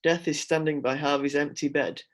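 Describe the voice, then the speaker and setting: Man with a slightly high voice reading out stage directions from a screenplay. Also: steady tone, moderate pace, fairly bright tone. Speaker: script reader. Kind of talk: scripted narration, screenplay read-through.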